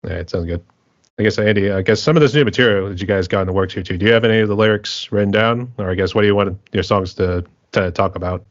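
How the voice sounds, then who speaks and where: Fast, very deep-voiced American podcast host. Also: male voice, no echo, dull audio. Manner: loose, rambling questions with "I guess". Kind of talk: casual interview chat.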